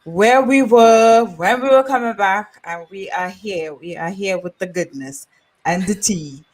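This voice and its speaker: A chatty woman hosts a podcast, upbeat and quick to laugh. She grew up in Trinidad. Her pitch sits in the middle of the range for women. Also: rough recording. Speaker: podcast host. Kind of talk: casual podcast chat.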